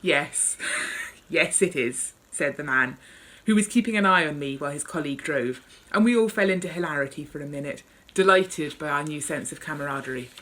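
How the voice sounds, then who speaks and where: A woman's voice: deep voice, quick pace, fairly bright tone. A woman author speaking and reading from her memoir in a wry, understated way.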